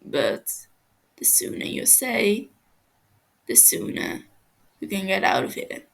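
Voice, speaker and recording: Woman doing a menacing calm man, unhurried, flat deadpan threats, creaky voice, story reading. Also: medium pitch, heavily acted. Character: cold, menacing male interrogator, calm and deadpan.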